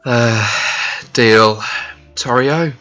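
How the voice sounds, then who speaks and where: Man as forceful, exasperated dragon traveller. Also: mid-range voice, drawn-out words, faint music behind, low-quality recording. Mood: exasperated, wary. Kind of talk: animated fantasy drama acting.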